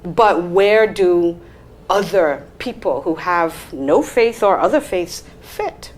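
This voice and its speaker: A woman's voice: lowish voice, animated, slow pace, a little hiss or hum. A woman, a panel guest making firm, argumentative points. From Bahamas. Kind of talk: discussion panel.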